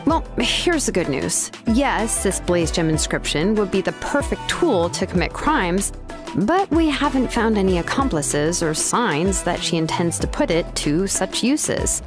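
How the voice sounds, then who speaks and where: A woman voicing a laid-back, expert female blacksmith in a game cutscene, talking in a relaxed, offhand way with frequent "uh"s. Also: mid-range voice, measured pace. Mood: explaining. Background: game background music.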